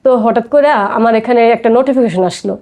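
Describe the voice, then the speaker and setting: Female podcast guest, Bangladeshi accent, fairly high mellow voice, quick conversational storytelling. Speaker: podcast guest telling her life story. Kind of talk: personal storytelling in casual talk.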